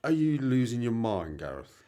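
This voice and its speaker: A young man plays a concerned, exasperated British friend in an amateur radio play. His voice is soft-edged and mellow with animated pitch, and he questions insistently. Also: moderate pace, lightly acted. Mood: incredulous.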